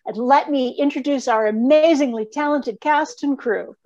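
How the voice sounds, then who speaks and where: A woman's voice: high voice, normal speed, a little mellow. A middle-aged woman, a director, hosting a live online show and presenting to the audience. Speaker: theatre director hosting cast introductions. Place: indoor room, video call. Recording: slightly dull video-call audio.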